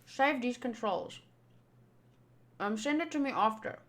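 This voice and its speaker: A woman hosting a visual novel playthrough, reading the narration aloud and adding chatty, expressive asides. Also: a little high, a little slow. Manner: chatty, expressive, reacting fondly to the game. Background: background music.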